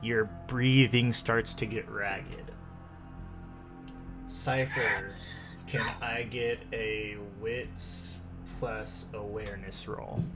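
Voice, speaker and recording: A man narrating a tabletop horror roleplay as game master, with deliberate, descriptive storytelling. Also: lowish voice, fairly even intonation, low-quality recording. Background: clear background music.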